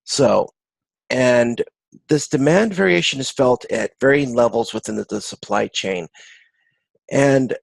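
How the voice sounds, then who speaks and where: A man giving an informal online lecture as an instructor, explaining in a halting way and often rephrasing himself. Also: medium pitch, gravelly, dry recording. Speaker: course instructor. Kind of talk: informal recorded video-conference lecture with slides.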